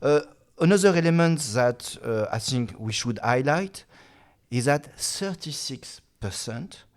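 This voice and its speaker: A man, a guest expert in an interview, speaking haltingly with many "uh"s and restarts. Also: mid-range voice, lively intonation. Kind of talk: casual interview talk.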